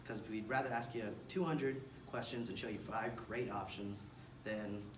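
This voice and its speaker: American male realtor, seminar delivery, lowish pitch, halting flow, captured far from the mic in an echoey space. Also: fairly even intonation. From Kansas City, United States. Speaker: real estate agent presenting a seminar. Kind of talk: seminar presentation. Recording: distant mic, roomy echo, clear background noise.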